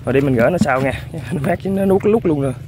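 A man giving excited, casual running commentary to viewers while fishing outdoors on a muddy riverbank. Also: on the high side, rapid-fire, noisy background. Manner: excited exclamations, talking to viewers. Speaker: fishing vlogger.

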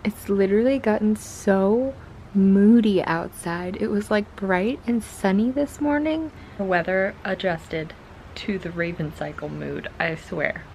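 A woman in her twenties talks to her camera at home in an informal, enthusiastic vlog style. Her voice sits at a medium pitch, with faint noise in the room around her. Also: unhurried, gravelly, darkish tone, poor audio. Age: 20s. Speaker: book vlogger. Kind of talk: casual vlog chat. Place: at home, mostly in a bedroom.

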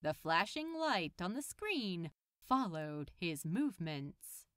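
A woman's voice: lowish voice, sing-song, slow pace, a bit bright, clear, resonant tone, no echo, studio quality. A woman narrating a scripted piece of fiction as a storyteller. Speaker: podfic narrator.

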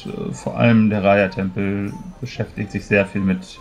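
A man roleplaying in a tabletop game, explaining things in formal, measured wording. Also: on the low side, level tone. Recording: voice over background music. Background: music.

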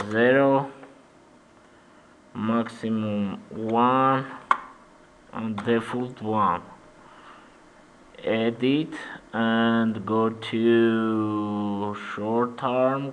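A man from Armenia with an Armenian accent, guiding a software tutorial in halting, simple English with many "uh-huh" fillers. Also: mid-range voice, slight background noise. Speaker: 3D animation tutor. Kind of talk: screen-recorded software tutorial.

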